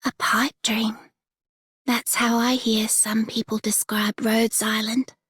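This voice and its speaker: An actress playing a soft-spoken, determined dreamer in a video game, with a hushed, whispery delivery. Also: female voice, fairly high pitch, dry recording, clean studio sound. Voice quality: very breathy, whispery. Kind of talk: scripted video game character voice line.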